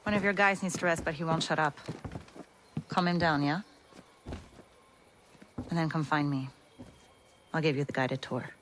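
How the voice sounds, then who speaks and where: An actress playing a wry, no-nonsense resistance fighter in a video game cutscene. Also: female voice, deep voice, steady tone, moderate pace, pauses often, background noise, lightly acted. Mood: brisk.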